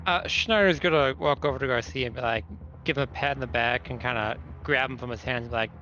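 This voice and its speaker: A man's voice: mid-range voice, quick pace, sharp tone, a little hiss or hum. A man playing a tabletop roleplaying game, talking casually about what his character does. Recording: dull, lo-fi sound with music behind.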